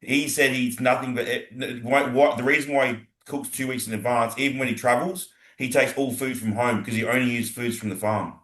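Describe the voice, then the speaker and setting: A man's voice: medium pitch, fairly even intonation, a little fast, hardly any pauses, a bit bright. Rambling Australian man in his thirties, echoey room. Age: 30s. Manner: rambling, stumbles and repeats words. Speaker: podcast host. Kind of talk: casual chat.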